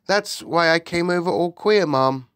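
A woman's voice: fairly low pitch, unhurried, dry recording, studio quality, voice pushed up, close to an everyday voice. A woman voicing a timid, deferential palace maid in an audiobook, stammering and quivering as she speaks. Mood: earnest, anxious.

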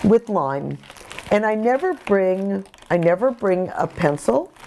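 A middle-aged woman giving informal art instruction in deliberate, explanatory short phrases. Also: mid-range voice, sing-song, warm tone, a little hiss or hum, rough recording. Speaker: courtroom artist giving instruction. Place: small bright home studio, indoors by a window.

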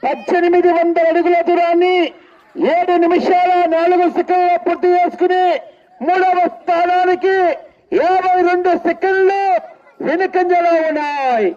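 A man's voice: very high voice, level tone, moderate pace. A man with an Andhra Telugu accent giving live contest commentary as an event announcer, repeating his announcements and throwing in exclamatory cries. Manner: repetitive announcing broken by loud exclamatory cries. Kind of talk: live contest commentary over a public address. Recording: dull lo-fi sound.

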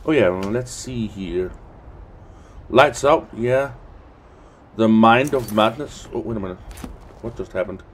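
A man's voice: lowish voice, a little slow, long pauses. A man giving casual gameplay commentary, thinking aloud in hesitant mutters. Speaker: let's play host. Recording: constant background noise and game ambience.

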